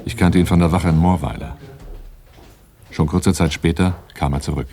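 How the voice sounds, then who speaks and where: An actor playing a sober first-person narrator of a radio crime drama, matter-of-fact and restrained. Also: male voice, deep voice, moderate pace, mellow, slight background noise. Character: male crime-story narrator recounting events soberly.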